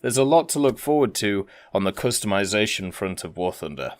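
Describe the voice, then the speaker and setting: Man, low pitch, clean resonant voice, casual gaming commentary, rambling conversational delivery. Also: animated, a little fast. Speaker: gaming video host.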